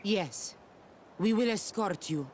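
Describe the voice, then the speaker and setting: A woman's voice: mid-range voice, animated, takes it slowly, darkish tone, slight background noise. A woman playing a resolute native warrior in a video game, speaking earnestly and directly. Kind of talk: scripted video game acting.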